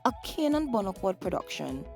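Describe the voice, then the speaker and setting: A woman's voice: mid-range voice, very animated, brisk, vocal fry, a little mellow. A woman reading a scripted sponsor announcement as a radio announcer. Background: music.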